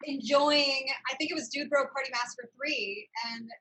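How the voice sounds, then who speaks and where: A woman's voice: high voice, moderate pace, a bit bright, low-quality recording. A woman hosting a casual interview, speaking informally and effusively as she affirms her guest. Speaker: show host, interviewer.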